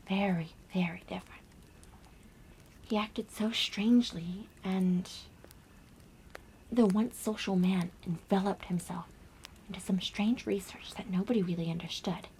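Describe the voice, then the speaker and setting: A woman playing a gentle young girl on a camping trip in an ASMR roleplay, speaking softly and breathily with hushed, dramatic pauses. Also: mid-range voice, slight background noise, close to an everyday voice, poor audio. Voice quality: soft, breathy whisper. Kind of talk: ASMR roleplay, soft whispered storytelling.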